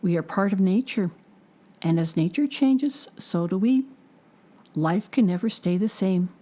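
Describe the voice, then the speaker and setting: A woman voicing a gentle, wise woman in a storybook, calm and explaining. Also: mid-range voice, unhurried.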